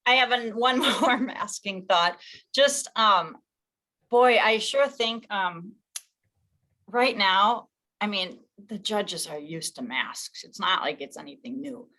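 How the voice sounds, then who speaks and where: A woman speaking informally as she leads an online meeting, thinking out loud in a conversational way. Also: medium pitch, slow. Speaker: league official leading an online meeting.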